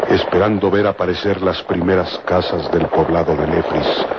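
A man narrating a vintage radio serial in a solemn, measured, dramatic storytelling style. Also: low voice, heavy background noise. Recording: muffled old radio recording. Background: loud dramatic music.

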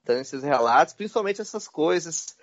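A man's voice: fairly high pitch, animated, brisk. A man hosting a casual conversation, talking animatedly and calling the listener "cara". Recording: dull lo-fi sound.

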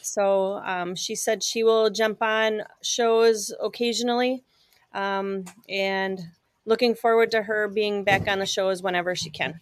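A woman's voice: medium pitch, steady tone, a little slow, no room sound. A woman hosting a casual live-stream talk show, chatty and friendly as she introduces and questions her guest. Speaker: show host.

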